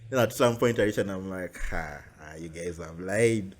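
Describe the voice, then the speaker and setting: A young man with a Ugandan accent chats on a podcast. He tells animated stories and keeps things loose and casual. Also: deep voice, moderate pace. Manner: chatty, animated storyteller. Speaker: podcast host. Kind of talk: casual chat.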